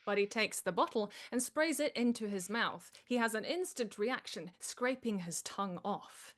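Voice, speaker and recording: A woman's voice: medium pitch, lively intonation, moderate pace, a bit bright. A woman reading stage directions as the narrator of a live comedy table read.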